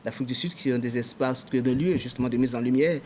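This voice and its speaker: A man's voice: mid-range voice, quick pace, darkish tone, slight background noise. Formal official man in long sentences. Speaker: adviser in the communication minister's office, guest. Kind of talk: TV talk show interview.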